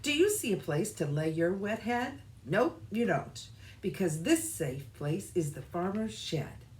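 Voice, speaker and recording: A woman's voice: medium pitch, sing-song, unhurried, darkish tone. A woman voicing a tiny, anxious male seed in a children's storybook, plaintive and reluctant to leave home. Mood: indignant. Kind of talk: children's storybook read-aloud.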